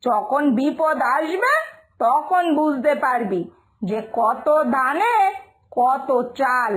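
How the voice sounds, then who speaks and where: Woman voicing a scolding village mother, very high-pitched. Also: lively intonation, extremely slow, fairly bright tone, exaggerated voice. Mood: warning, annoyed. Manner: scolding, insistent. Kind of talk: Bengali moral-story cartoon dialogue. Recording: music behind the voice.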